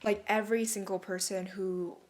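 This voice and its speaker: A young woman co-hosting a casual podcast chat, talking calmly and laughing often between her phrases. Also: mid-range voice, moderate pace. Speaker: podcast co-host.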